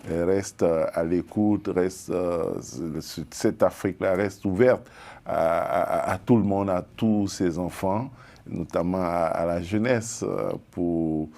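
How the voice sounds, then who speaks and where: A man's voice: low voice, slow, gravelly, mellow. A man from Côte d'Ivoire, a journalist guest on a TV panel, talking with frequent hesitations. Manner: hesitant, many fillers and restarts. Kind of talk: TV panel discussion.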